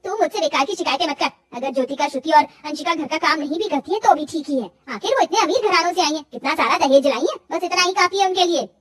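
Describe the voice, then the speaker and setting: A woman's voice: sits high, fast, hardly any pauses, fairly bright tone. A woman voicing a greedy, domineering older mother-in-law in a cartoon moral story, with a scolding, commanding manner.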